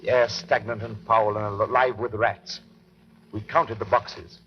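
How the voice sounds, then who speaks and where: An actor playing an earnest young husband who reads his journal in brisk, clipped phrases for a 1930s radio drama. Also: fairly low pitch, flat delivery, lightly acted. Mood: grim, weary report. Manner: clipped diary-style phrasing. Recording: muffled, faintly noisy 1930s radio recording.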